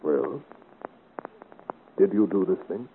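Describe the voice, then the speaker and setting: A man's voice: deep voice, lively intonation, slow. A man acting a gallant, indignant young French soldier in a formal, declamatory 1950s radio serial style. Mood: indignant, bold. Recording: narrow-band, hissy 1950s radio recording.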